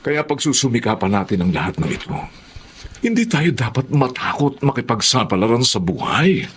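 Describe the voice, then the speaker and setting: A man's voice: mid-range voice, sing-song, normal speed, a bit bright, slightly rough. A man playing an ambitious, earnest middle-aged father in a Filipino radio drama. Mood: reassuring. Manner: earnest, persuasive. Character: determined, ambitious Filipino father working abroad.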